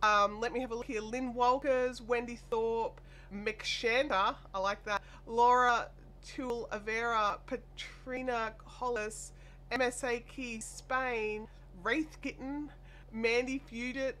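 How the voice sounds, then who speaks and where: An Australian woman hosting a casual craft live stream, chatty and apologetic, her voice made deeper and nasal by a chest cold and sore throat. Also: fairly even intonation, slow, fairly bright tone. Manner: chatty, apologetic, reads viewer comments aloud. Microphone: desk microphone. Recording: faint air conditioner hum.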